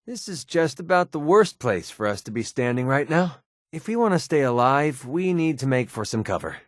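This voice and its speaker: A young American mercenary from a wasteland video game, acted by a man, with a mid-pitched voice recorded very dry. He is sardonic and colloquial, a wisecracking companion who talks in a natural, conversational way. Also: big pitch swings, moderate pace, no room sound, close to an everyday voice. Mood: wary, alert. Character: wry, sarcastic young male wasteland mercenary.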